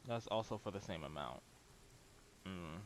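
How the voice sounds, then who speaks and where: A man's voice: low voice, lively intonation, measured pace, a little hiss or hum. A man giving casual live gameplay commentary as a streamer, thinking aloud in a laid-back way. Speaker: game streamer. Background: game music.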